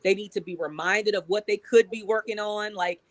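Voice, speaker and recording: A woman's voice: mid-range voice, fairly even intonation, moderate pace, no room sound. A woman with an American accent speaking as a guest in a motivational, emphatic way. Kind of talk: motivational interview talk.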